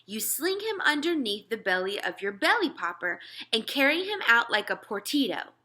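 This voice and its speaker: A woman's voice: a little high, sing-song, normal speed, bright, forceful tone, pitched above the performer's own voice. A woman voicing a friendly, patient giant with muddled, folksy grammar and invented words, reading from a children's storybook. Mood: instructive. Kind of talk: children's storybook read-aloud.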